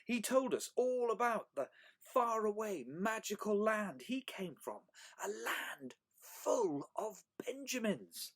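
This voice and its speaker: A reader as a curious young storybook dragon, earnest, wide-eyed, big pitch swings, dramatic emphasis. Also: very animated, slow, exaggerated voice.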